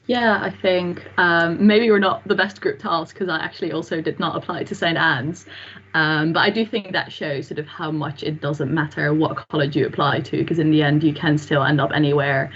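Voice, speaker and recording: A woman's voice: deep voice, fairly even intonation, moderate pace, a bit bright, breathy edge. A young woman from the Netherlands, a student, chatting with many fillers on a video call from a room at home. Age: young adult. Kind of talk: casual Q&A answers on video call. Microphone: laptop webcam mic on video call. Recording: dull lo-fi video call audio.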